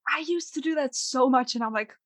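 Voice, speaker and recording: A young woman chatting on a podcast, her voice a bit high and close to the mic. She rambles and restarts often. The sound is dry, with no room around it. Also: a little fast, fairly bright tone, dry recording. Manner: rambling, many fillers and restarts. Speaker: podcast co-host. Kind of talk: casual podcast chat.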